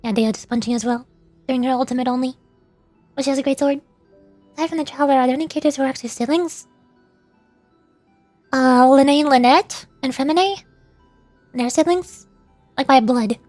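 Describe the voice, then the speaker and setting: A woman with a high voice chats casually on a game livestream. She muses and questions herself aloud as she goes, with music playing faintly behind her. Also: brisk, pauses often, darkish tone, no echo. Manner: musing, often questioning aloud. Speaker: VTuber game streamer.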